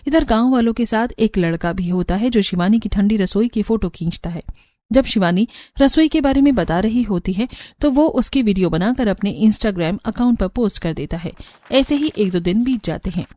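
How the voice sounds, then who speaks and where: A woman's voice: mid-range voice, lively intonation, moderate pace, mellow, dry recording, clean studio sound. A woman narrator reading a scripted story for a cartoon.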